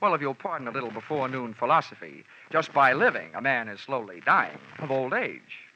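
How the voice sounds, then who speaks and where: An actor playing a cynical, quippy insurance investigator in a 1940s radio detective drama. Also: male voice, mid-range voice, sing-song, brisk, sharp tone, slight background noise. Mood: wry, needling. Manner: sardonic, quippy. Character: wisecracking, cynical freelance male insurance investigator. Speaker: radio drama lead and narrator. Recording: muffled old radio broadcast, music behind.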